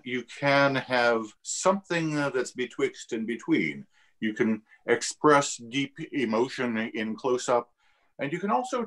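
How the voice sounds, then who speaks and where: A man, an actor on a discussion panel, explaining things in an articulate, measured and reflective way. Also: mid-range voice, a bit bright.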